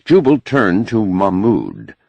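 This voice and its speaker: A low-pitched man narrates a novel as an audiobook reader. His delivery is expressive and varied, with a husky edge, recorded close and dry. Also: takes it slowly, no echo. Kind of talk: scripted narration of a novel, with character voices.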